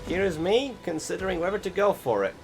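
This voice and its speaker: A man's voice: high-pitched, very animated, fairly quick. A man giving casual voiced-over commentary on his video game playthrough, rambling along in a self-deprecating way. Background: music behind the voice.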